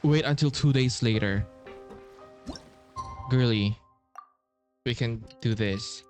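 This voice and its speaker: Low-voiced woman gaming, very casual. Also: slow pace, darkish tone, faint music behind. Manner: informal, slangy, swears freely. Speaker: gaming streamer. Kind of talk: casual game playthrough commentary.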